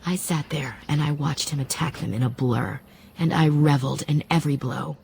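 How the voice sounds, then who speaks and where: A composed elf wise woman from a fantasy game. Her voice is low, dark and creaky, and she speaks with calm, reflective formality. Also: normal speed, a little hiss or hum. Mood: haunted, intense. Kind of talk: fantasy video game acting, measured storytelling.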